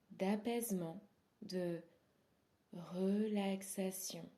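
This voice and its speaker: A woman guiding a yoga nidra relaxation as an instructor, speaking softly and soothingly in short, unhurried phrases that sometimes trail into breathiness. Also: mid-range voice. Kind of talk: guided relaxation meditation.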